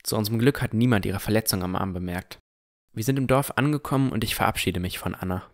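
A man's voice: fairly low pitch, fast talker. A man reading scripted first-person narration as the narrator of a fantasy audio drama.

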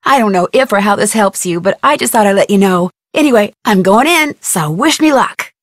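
A woman's voice: mid-range voice, animated, fairly quick, no echo, clean studio sound, natural voice. A woman voicing a plucky, inquisitive young amateur detective with an American accent in an adventure game, chipper and conversational. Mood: friendly, curious. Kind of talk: scripted adventure game dialogue.

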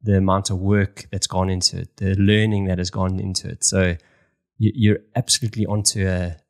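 A South African man in his thirties hosts a relaxed interview over a video call. His voice sits low, and he talks in a loose, circling way, restarting phrases as he goes. He's close on a stand mic in a small room at home. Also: moderate pace. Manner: chatty, repeats words while searching for phrasing. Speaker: podcast host. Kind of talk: casual interview chat. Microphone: studio mic on stand with headphones, video call.

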